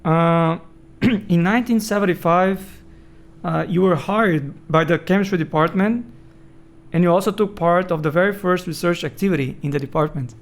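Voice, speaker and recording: Soft-spoken male interviewer. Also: sits high, normal speed. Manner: brief, soft prompts and backchannels. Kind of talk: interview questions.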